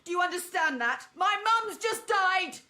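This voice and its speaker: A woman's voice: very high-pitched, animated, a little slow, sharp tone, full character voice. An actress playing an emotional, protective middle-aged mother in a radio play. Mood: furious, wounded. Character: emotional, loving working mother, fiercely protective.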